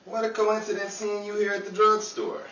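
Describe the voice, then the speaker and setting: A man's voice: high voice, flat delivery, moderate pace, sharp tone, highs cut off. A man playing a cheeky, scheming 1930s New York hustler with slick, jokey patter, in screwball radio comedy style. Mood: playful, evasive. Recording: echoey, distant, noisy live room with crowd.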